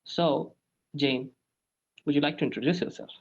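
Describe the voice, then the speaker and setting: Man hosting, slightly high voice. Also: steady tone, husky. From India. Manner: halting, many restarts and "like" fillers. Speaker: podcast host. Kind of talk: casual podcast conversation. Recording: dull lo-fi sound.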